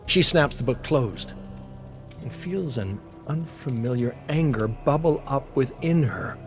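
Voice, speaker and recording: A man's voice: medium pitch, expressive, measured pace. Male story narrator over music. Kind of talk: scripted dramatic story narration.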